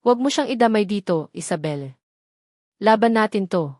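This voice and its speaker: A woman's voice: mid-range voice, expressive, moderate pace, a little mellow, no echo, clean studio sound, natural voice. A woman voicing a brooding male heir in a storybook romance, firm and terse in manner. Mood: protective, tense. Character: hard, defiant young male heir, brooding.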